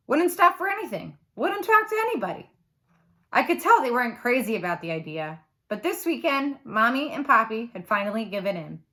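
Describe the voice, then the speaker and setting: Bright, animated woman reading a story aloud. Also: high-pitched. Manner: animated, dramatic emphasis. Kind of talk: expressive read-aloud of a children's novel.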